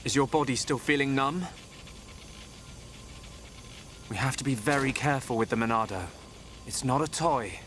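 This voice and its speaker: A man's voice: mid-range voice, fairly quick, a bit bright, a little hiss or hum, lightly acted. A man with an English accent playing an earnest, thoughtful young inventor in a video game. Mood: concerned, scolding. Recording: orchestral music behind the voice.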